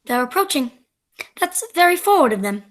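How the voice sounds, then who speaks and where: A woman's voice: high voice, sing-song, normal speed. A woman acting a prim, romantic young society girl with formal speech in a radio play comedy.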